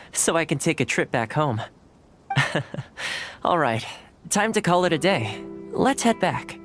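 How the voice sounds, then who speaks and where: A courteous young male retainer with an easygoing, polite manner and a touch of humor. His voice rises and falls in lively swings, over faint music. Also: fairly high pitch. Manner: polite, relaxed, gently humorous. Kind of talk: game dialogue.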